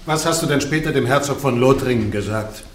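Actor as a probing theologian in echoey room. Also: male voice, mid-range voice, natural voice. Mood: probing, strict. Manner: formal, probing, measured. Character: probing, scholarly male theologian interrogating a prisoner. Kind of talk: radio play acting.

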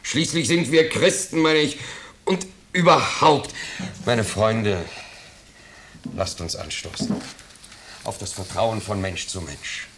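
An old, slightly noisy 1950s radio play recording carries a well-to-do husband with a low voice. He is anxious and eager to please, blustering pompously and pleading with his guests in a theatrical manner. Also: slow pace, long pauses. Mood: effusive, pompous. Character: anxious, ingratiating well-to-do bourgeois husband and host.

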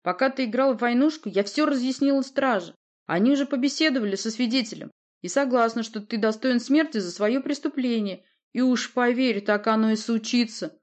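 An imperious young sorceress from a fantasy storybook, acted out by a woman narrator. She speaks in a raised, commanding voice, sharp and insistent, defiant toward anyone who doubts her. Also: on the high side, expressive, fairly quick, hardly any pauses, pitched above the performer's own voice, natural voice. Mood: cold, threatening.

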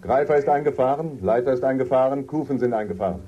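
An actor playing a seasoned expedition leader in a German radio drama, dry and instructive, giving orders casually. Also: male voice, mid-range voice, fast, muffled recording, background noise, lightly acted. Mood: matter-of-fact. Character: seasoned male expedition leader, wry, matter-of-fact, rule-minded.

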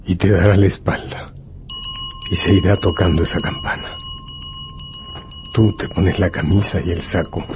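A man delivering dramatic scripted narration in deliberate, measured phrases. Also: sits low, fairly even intonation, heavy background noise. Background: music.

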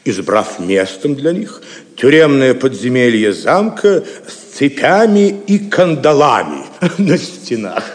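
A cynical, boastful Norman knight in a radio play. His sardonic delivery swings widely in pitch and echoes in a long hall reverb. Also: big pitch swings, slow pace. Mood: sardonic relish. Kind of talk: theatrical audio drama acting.

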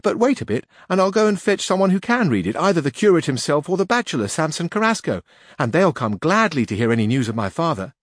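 An excited peasant girl of about fourteen, put on by a male reader. Her voice sits well above the reader's own and runs eager and breathless. The sound is dry and close. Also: fast, dry recording. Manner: eager and breathless, high-pitched.

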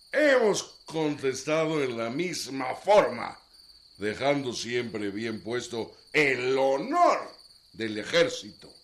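An actor playing a stern, self-righteous army general in a radio drama, declaiming with emphatic pauses. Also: male voice, medium pitch, vocal fry, fairly bright tone, full character voice.

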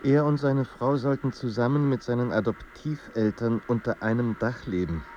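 An actor playing a somber, measured literary narrator in a German radio play. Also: male voice, medium pitch, fairly even intonation, darkish tone, lightly acted. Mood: somber, reflective. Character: somber male literary narrator of a troubled writer's life. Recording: dull sound with faint background noise.

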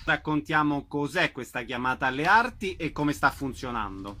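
A man interviews guests on the radio. His voice sits a little high for a man and has a bright, forward edge. He speaks as a presenter, introducing people and putting questions to them. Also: lively intonation, moderate pace, a little hiss or hum.

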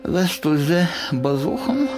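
An actor playing a frail, grieving old father in a German radio drama, speaking haltingly with a Yiddish accent. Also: a little high, very animated, music in the background. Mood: wistful, amused. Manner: halting, with long pauses.

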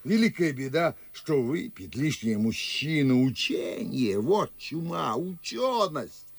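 Blustering, husky-edged pompous gentleman. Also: on the high side, sing-song, slow pace, no room sound, heavily acted. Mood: indignant. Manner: declamatory, blustering. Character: pompous middle-aged Moscow gentleman railing against learning. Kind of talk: stage-classic verse radio acting.

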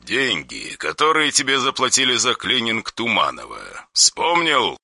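A cold, menacing caller on the other end of a phone, as a male narrator puts him on, speaking quietly in a hard, dry, flat voice. He never raises it and clips every line short. The recording is close and dry, with no room sound. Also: medium pitch, unhurried, no room sound, voice pushed up, exaggerated voice. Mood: cold menace.

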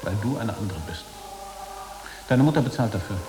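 An actor playing a bitter, disillusioned middle-aged former boarding-school pupil in a literary radio drama, speaking in measured, sententious declamation. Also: male voice, on the low side, expressive, music in the background, close to an everyday voice. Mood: bitter, pronouncing. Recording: reverberant echoing hall, noticeable hiss.

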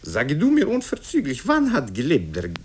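A man's voice: on the high side, huge pitch swings, moderate pace, a little mellow, slightly rough, pitched above the performer's own voice, exaggerated voice. Man putting on a florid, anxious schoolteacher. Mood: jubilant urgency. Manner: florid, pompous, wheedling. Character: fawning, anxious male schoolteacher, pompous and pleading.

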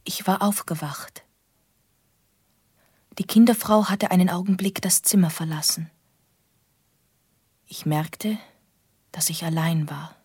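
An actress playing a lonely, wistful young woman, narrating a radio drama in a breathy, half-whispered, reflective voice. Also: on the low side, slow, pauses often, mellow, no room sound, clean studio sound. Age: young adult. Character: lonely, wistful young woman recalling her childhood in a castle. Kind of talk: intimate first-person radio drama narration.

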